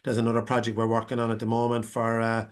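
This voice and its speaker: An Irish man speaks over a video call, his pitch staying flat and level. He answers at length and wanders through his point with frequent restarts and fillers. It sounds like a live panel webinar. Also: fast talker. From Ireland. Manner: rambling, many restarts and fillers. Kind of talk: unscripted answer to a question.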